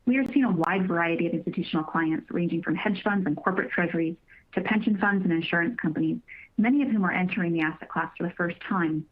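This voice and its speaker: A woman with a fairly low voice delivers scripted financial remarks over a narrow, tinny conference-call line. She sounds formal and measured, like an executive presenting to investors. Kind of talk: prepared corporate presentation, scripted.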